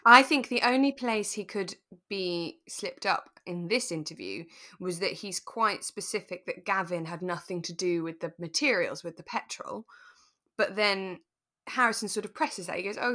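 Woman podcast host, really bright crisp-edged voice, chatty, thinking things through as she goes. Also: lowish voice. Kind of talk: relaxed radio drama discussion chat.

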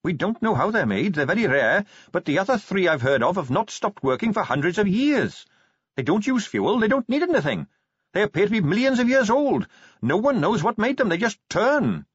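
A man's voice: high-pitched, sing-song, moderate pace, slightly rough, no room sound, voice pushed up, full character voice. A man voicing an earnest, polite young captain of the watch who explains things eagerly. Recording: slightly dull, dry studio read.

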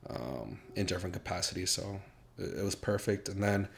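A young man chatting casually as a podcast guest, relaxed and halting, with many fillers and restarts. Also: sits low, vocal fry, slight background noise. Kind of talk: casual chat.

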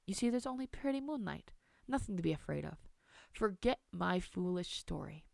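Storybook grandmother read by a woman: old, soothing yet firmly dismissive, smooth clear delivery, dry sound. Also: mid-range voice, normal speed, dry recording, lightly acted.

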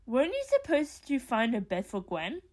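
A woman's voice: on the high side, big pitch swings, normal speed, a little mellow. A woman playing a blunt, argumentative but grudgingly caring character in an audio drama.

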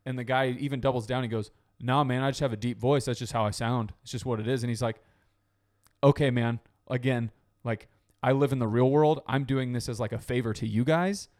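A man's voice: mid-range voice, fairly even intonation, a little fast, darkish tone. A man in casual podcast chat, telling a long story with lots of fillers and restarts. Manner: rambling storytelling with many fillers.

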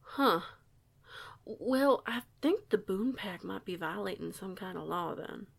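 A woman's voice: medium pitch, expressive, a little slow, creaky, breathy edge, heavily acted. A woman voicing a guarded eighteen-year-old werewolf delinquent who stays polite, with a folksy, hesitant manner, in an audio roleplay. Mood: nervous, respectful. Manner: folksy, hesitant, stammering, deferential.

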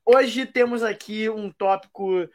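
A man's voice: high-pitched, slow pace. A man co-hosting a casual football podcast, playful and full of slang, teasing his partner. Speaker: podcast co-host.

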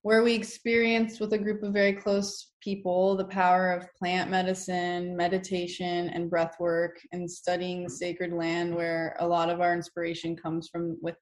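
A woman's voice: fairly low pitch, little pitch movement, normal speed, few pauses. A woman telling a story about her travels in an enthusiastic, rambling way during a casual conversation. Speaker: guest, brand co-founder.

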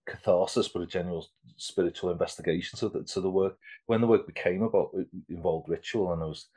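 A man's voice: low voice, steady tone, fast, mellow. A man in his fifties, an artist being interviewed, talking casually and rambling with many restarts. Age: 50s. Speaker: artist guest.

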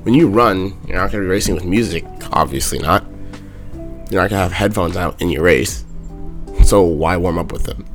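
A young man vlogging casually about his warm-up habits, talking in a monotone, raspy voice with a sore throat. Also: deep voice. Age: young adult. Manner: talks in loose runs with fillers. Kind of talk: casual ranting vlog.

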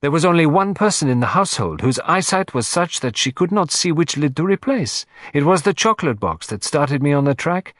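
An excitable, vain elderly detective, as a man reads him, bursts out in fussy, theatrical exclamations. The voice leaps high and swings widely. The sound is close and dry. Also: moderate pace, dry recording. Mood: agitated.

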